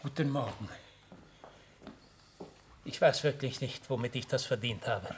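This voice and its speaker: An actor playing an anxious, self-reproachful gentleman and father in a German radio drama, speaking formally and haltingly. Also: medium pitch, noisy background, natural voice. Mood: sorrowful, downcast.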